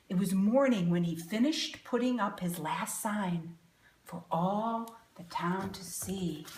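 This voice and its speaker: A woman's voice: medium pitch, echoey room. A middle-aged woman reading a picture book aloud to children, exclaiming and asking them questions as she goes. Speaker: storybook reader and educator.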